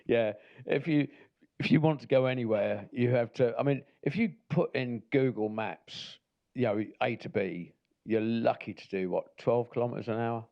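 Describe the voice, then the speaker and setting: Brief: a gravelly-voiced man from Norfolk in England as co-host, hesitant and dry. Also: medium pitch, moderate pace, dull audio. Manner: hesitant, stop-start and dry. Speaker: podcast co-host. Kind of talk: casual chat.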